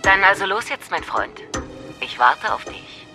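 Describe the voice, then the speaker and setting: An actress playing a mysterious woman caller in an audio drama, coaxing and commanding in an insistent, deliberate way. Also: medium pitch, sing-song, music in the background. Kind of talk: German audio drama acting.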